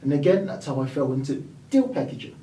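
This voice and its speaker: Brief: British male property mentor, lively storyteller who acts out voices, higher voice, echoey room picked up from a distance. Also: quick pace, a little hiss or hum. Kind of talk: storytelling interview guest.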